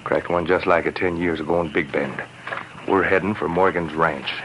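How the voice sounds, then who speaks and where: A man's voice: deep voice, steady tone, normal speed, dull audio, close to an everyday voice. An actor playing a terse, commanding male outlaw gang leader in an old-time radio western. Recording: noisy old radio recording.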